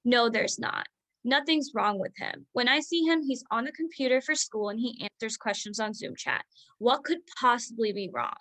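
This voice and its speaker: A woman playing a stressed, defensive doctor mother in a staged drama reading, with a forceful, insistent manner. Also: fairly high pitch, moderate pace, no echo. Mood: dismissive, defensive.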